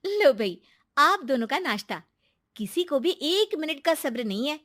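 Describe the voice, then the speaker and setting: A woman voicing a caring, peacemaking young wife in a cartoon moral story, gently moralizing. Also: high-pitched, big pitch swings, unhurried, no echo, clean studio sound, pitched above the performer's own voice, heavily acted. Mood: exasperated, pleading.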